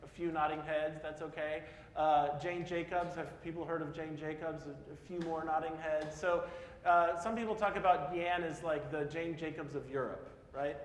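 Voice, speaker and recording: A man's voice: fairly high pitch, steady tone, normal speed. A man in his forties from Peoria, Illinois, giving a casual, self-deprecating conference talk and asking the audience questions. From Peoria, Illinois (US Midwest). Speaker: speaker at a public talk. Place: large hall in front of an audience. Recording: echoey hall with faint noise.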